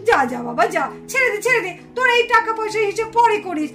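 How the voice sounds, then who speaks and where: A woman's voice: very high voice, animated, quick pace. A woman playing a family mother in a puppet show, coaxing and mildly scolding. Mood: coaxing, chiding. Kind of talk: puppet-show cartoon dialogue. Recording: music behind the voice.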